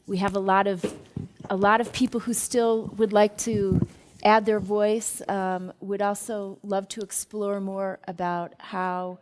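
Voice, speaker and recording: A woman's voice: mid-range voice, little pitch movement, a little slow. A woman moderating a dialogue, polite and formal in how she introduces speakers. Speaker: program host.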